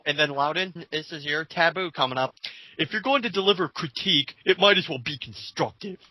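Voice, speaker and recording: A man's voice: on the high side, a little fast, sharp tone, no room sound, rough recording. A 19-year-old man in a casual, blunt, sweary rant, reading comments aloud as a commentator.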